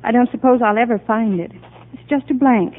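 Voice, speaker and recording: Actress playing a fragile, confused woman, high-voiced. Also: brisk, close to an everyday voice. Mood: childlike, anxious. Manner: hesitant, bewildered, childlike questioning. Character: fragile, confused young married woman, a psychiatric patient. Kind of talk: 1950 radio drama acting. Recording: muffled narrow-band 1950 radio broadcast.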